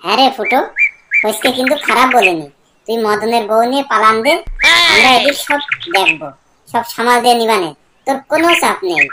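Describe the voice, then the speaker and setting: Woman doing cartoon village lad, slangy, blunt, raised pitch, heavy chirping and clicks. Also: animated, fairly quick, natural voice. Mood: egging on. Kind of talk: comedy cartoon dialogue. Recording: loud birdsong and clicking noise.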